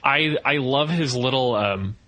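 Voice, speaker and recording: A man's voice: mid-range voice, a little slow, pushed and bright. A man co-hosting a casual review chat, speaking with gushing enthusiasm. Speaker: podcast co-host reviewing films.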